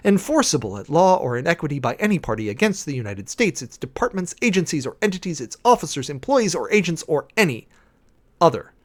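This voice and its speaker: A man with a fairly high voice performs a formal document as a dramatic reading. His delivery is theatrical and emphatic, with lively intonation that rises and falls widely as he works through each clause. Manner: theatrical, emphatic, enumerating items with swooping emphasis. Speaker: preacher doing a dramatic reading with commentary.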